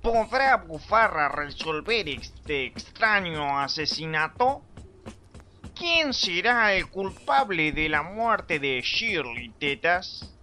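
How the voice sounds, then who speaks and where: A man's voice: a little high, very animated, takes it slowly, sharp tone, faint music behind. A man with a Rioplatense accent narrating a radio drama in an overblown announcer style. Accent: Rioplatense Spanish.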